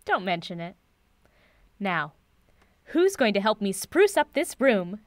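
A woman's voice: medium pitch, takes it slowly, no echo. Actress playing quirky lady professor in audio drama, theatrical n breezy, voice goes up and down a lot, dry sound. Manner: theatrical, breezy, deadpan whimsy. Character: eccentric, brisk, cryptic woman professor.

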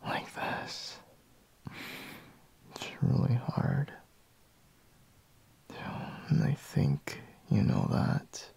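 A sleepy boyfriend, acted by a man in an ASMR roleplay, murmuring slowly and half-whispering. His voice is very husky and crackles with heavy vocal fry. He sounds reluctant yet teasing, as if barely awake. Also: mid-range voice, sing-song, warm tone, exaggerated voice. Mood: drowsy, reluctant. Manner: slow, drowsy murmur with sighs and pauses.

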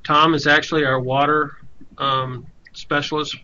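A man's voice: on the high side, little pitch movement, unhurried, pushed and bright. A man explaining things informally on a work call, often repeating words as he goes. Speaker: extension staff member. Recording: dull conference-call audio, faint noise.

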